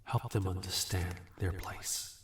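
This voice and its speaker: A man playing a suave, amused demon in a fantasy audio roleplay, condescending and teasing with unhurried courtesy. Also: deep voice, fairly even intonation, fairly bright tone. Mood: smug, businesslike. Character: suave, amused, bargaining male demon, ageless and smug. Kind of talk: scripted fantasy audio roleplay addressed to listener. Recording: roomy echo, distant from mic.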